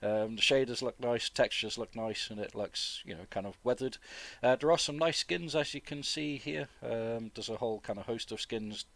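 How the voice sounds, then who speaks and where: A man, chesty with a cold, giving relaxed, informal and rambling flight simulator commentary as a video host. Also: mid-range voice, normal speed, vocal fry, dry recording. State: getting over flu, chesty cough. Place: dry close-miked voice-over indoors.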